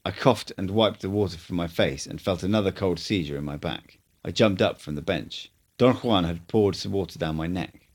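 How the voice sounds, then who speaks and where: A man narrating a first-person audiobook as an amateur reader, with steady, earnest delivery. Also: sits low.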